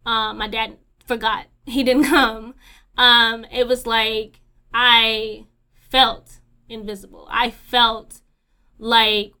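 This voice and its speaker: A woman's voice: a little high, flat delivery, takes it slowly, sharp tone, clean tone. A young woman co-hosting a podcast, talking candidly with lots of fillers, swearing and laughter. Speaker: podcast co-host. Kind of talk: casual chat.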